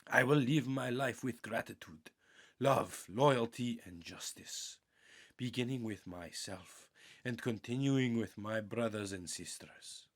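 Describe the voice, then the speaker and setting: A man reading a spiritual audiobook in an earnest, measured, meditative way, with deliberate pauses and a husky, gravelly voice. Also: on the low side. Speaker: audiobook reader.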